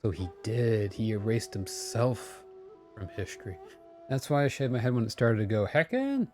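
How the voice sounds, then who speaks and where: A man's voice: on the low side, lively intonation, normal speed, darkish tone. A man live-streaming a game with casual, rambling commentary, often correcting himself as he goes. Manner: rambling, self-correcting, chatty. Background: game music.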